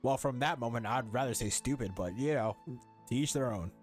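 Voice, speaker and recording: A man's voice: medium pitch, a little fast. A man giving casual, blunt and sarcastic playthrough commentary with swearing, game music underneath. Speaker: gamer reacting to a story quest.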